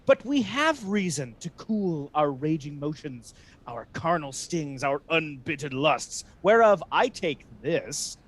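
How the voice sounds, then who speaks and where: A man's voice: a little high, big pitch swings, slow, fairly bright tone, heavily acted. A man playing a scheming, resentful Venetian ensign in a Shakespeare radio play, persuasive and theatrical. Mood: emphatic persuasion.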